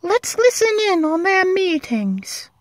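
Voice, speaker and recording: A man voicing a bossy, earnest young witch girl in a squeaky falsetto for a story reading. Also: very animated, slow pace, a little hiss or hum, heavily acted.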